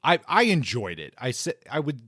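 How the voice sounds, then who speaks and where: A man hosting a podcast, reviewing things in a casual, conversational way with measured opinions. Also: medium pitch, huge pitch swings, fairly bright tone, slightly rough, no echo, studio quality. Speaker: podcast host.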